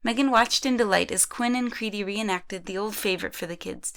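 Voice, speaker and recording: A woman's voice: mid-range voice, a little fast, fairly bright tone. A woman reading scripted third-person narration for an amateur audio drama.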